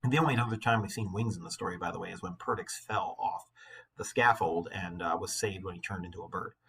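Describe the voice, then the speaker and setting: Bright, husky-voiced man reading aloud. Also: deep voice, fast talker. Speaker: high school English teacher. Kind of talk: expressive story read-aloud with teaching asides.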